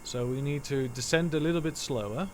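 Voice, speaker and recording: A man's voice: medium pitch, a little fast, a little mellow, slight background noise. A man whose first language is Dutch, giving rambling, self-correcting live commentary while streaming a game from home.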